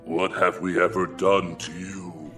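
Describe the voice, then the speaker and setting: A man's voice: sits low, flat delivery, a little slow, a bit bright, faint music behind. An actor playing a resentful, greedy middle-aged son-in-law in a radio drama, formal and aggrieved in his speech. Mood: wounded, indignant. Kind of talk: dramatic radio play acting.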